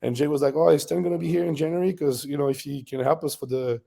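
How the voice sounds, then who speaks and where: A man in his thirties with a French accent, a bodybuilder guest telling stories in a relaxed interview, laughing often. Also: a little high, fast talker, vocal fry. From France. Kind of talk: casual interview storytelling.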